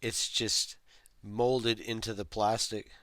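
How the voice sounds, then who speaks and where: A man's voice: fairly low pitch, flat delivery, takes it slowly, a bit bright, dry recording. A man hosting a hands-on repair tutorial, casually explaining each step as he works. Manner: explains step by step while working. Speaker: host of a toy restoration tutorial.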